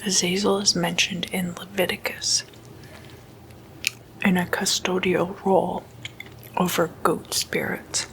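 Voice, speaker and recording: A woman speaking in a hushed ASMR whisper, unhurried and in short halting phrases, like an informal late-night lecture. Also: fairly low pitch, level tone, gravelly, slight background noise, poor audio. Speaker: ASMR host.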